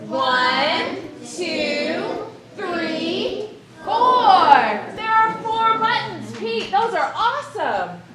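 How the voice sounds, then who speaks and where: A woman running a library puppet show for children, animated and talking straight to the kids as she counts aloud. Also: very high-pitched, very slow, crowd noise. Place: large library room with children. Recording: echoey room from some distance, kids around.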